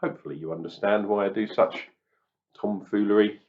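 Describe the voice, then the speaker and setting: A man, husky and crackly from a cold, chatting casually through a beer review. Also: on the low side, fairly even intonation, brisk. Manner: chatty and self-deprecating, muttering asides. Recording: slightly dull lo-fi sound.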